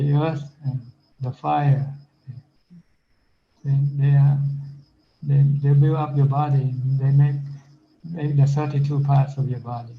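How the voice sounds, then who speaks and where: A man who is a Buddhist monk, giving an informal teaching and answering questions on a video call, speaking plainly with simple comparisons. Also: medium pitch. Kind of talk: informal Dhamma talk and Q&A. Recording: dull lo-fi video call audio.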